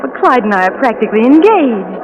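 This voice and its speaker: A woman's voice: fairly high pitch, sing-song, fast talker, highs cut off, crowd noise. A woman playing an anxious, lovesick and possessive young woman in a 1940s radio drama, emotional and insistent, with hesitations. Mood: devoted, confident. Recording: noisy vintage 1940s radio recording.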